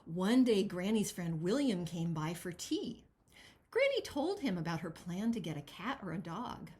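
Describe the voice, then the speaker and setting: A woman's voice: on the low side, very animated, moderate pace, a little mellow, a little hiss or hum. A woman who writes picture books, speaking expressively to children as she introduces and reads a storybook, with emphasis on key words. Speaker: children's picture book author. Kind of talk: chatty introduction and animated storybook read-aloud.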